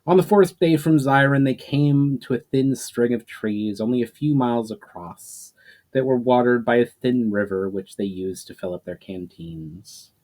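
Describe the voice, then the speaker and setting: A man narrates an adventure story in a dramatic reading. His voice sits in a middle register, and his delivery is expressive and deliberate, like storybook narration read aloud. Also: medium pitch. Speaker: author reading their own story aloud.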